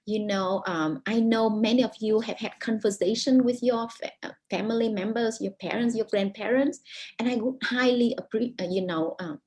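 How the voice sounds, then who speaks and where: A woman author speaks English with a Vietnamese accent, her first language being Vietnamese. Her voice sits in a middle register with a slightly husky, breathy grain. She answers thoughtfully over a video call, pausing and restarting as she searches for words. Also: mid-range voice, dark tone, low-quality recording. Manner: thoughtful, many restarts and pauses. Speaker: author, guest.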